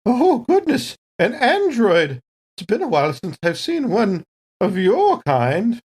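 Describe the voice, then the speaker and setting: Guy doing a high chatty lady android, swoopy, polite n fluttery, tabletop game. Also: wildly swooping pitch, slow, darkish tone, husky, exaggerated voice. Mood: polite, surprised. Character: genteel, scatterbrained, chatty lady android space tourist. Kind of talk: tabletop role-play acting.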